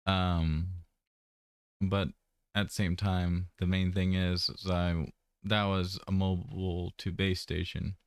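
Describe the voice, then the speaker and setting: Low, flat-toned man rambling casually. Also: slow pace, clean tone, no echo, studio quality. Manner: rambling, hesitant, trailing off mid-thought. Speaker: vlogger. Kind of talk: casual personal update to camera.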